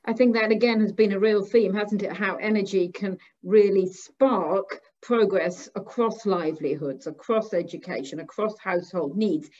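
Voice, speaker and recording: A woman's voice: medium pitch, normal speed, hardly any pauses, darkish tone. A woman moderating a panel discussion over a video call. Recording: slightly dull video-call audio.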